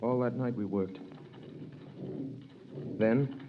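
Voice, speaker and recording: A man's voice: mid-range voice, very slow, noisy background. An actor playing a young French prisoner who tells his story with dramatic earnestness in an old radio drama. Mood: grave. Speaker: narrator. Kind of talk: 1930s radio drama narration. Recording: dull old radio recording with music.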